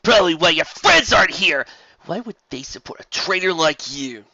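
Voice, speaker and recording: Someone doing a mean snarly pony captain lady, bright and sharp, super dry. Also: medium pitch, big pitch swings, normal speed, slightly rough, dry recording, muffled recording, pitched above the performer's own voice, exaggerated voice. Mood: scornful. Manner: snarling, contemptuous. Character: harsh scornful female flight-team captain.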